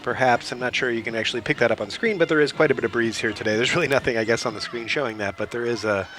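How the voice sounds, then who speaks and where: A man with a hoarse voice from a cold, giving relaxed play-by-play for a soccer match with small restarts along the way. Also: medium pitch, very fast, a little hiss or hum. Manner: relaxed, steady, chatty, with small restarts and throat clearing. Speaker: play-by-play commentator. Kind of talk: live sports commentary. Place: outdoor soccer field.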